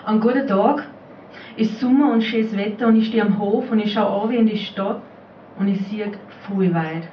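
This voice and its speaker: A woman's voice: medium pitch, normal speed. A woman with an Austrian accent performing a spoken-word dialect piece at a poetry slam, speaking as an elderly rural mother. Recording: roomy, distant, muffled live recording, faint noise.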